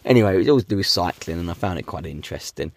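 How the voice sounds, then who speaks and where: A man in his thirties with a hoarse voice from a cold, chatting casually as a podcast host and rambling with many fillers. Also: sits low, animated, rapid-fire, no echo. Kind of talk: casual chat.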